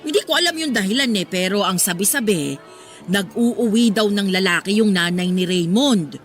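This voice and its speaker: A woman's voice: mid-range voice, animated, normal speed, faint music behind, natural voice. An actress playing a gossipy, meddlesome Filipino neighborhood mother in a radio drama, chatty and prying. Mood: gossiping. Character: gossipy, meddlesome older neighborhood mother, kindly but nosy. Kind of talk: Tagalog radio drama acting.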